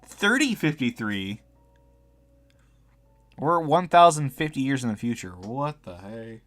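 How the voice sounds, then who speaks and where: A man's voice: mid-range voice, very animated, measured pace, fairly bright tone, soft background music. A man doing casual live let's play commentary, chatting informally and reading game text aloud as he reacts. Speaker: game streamer.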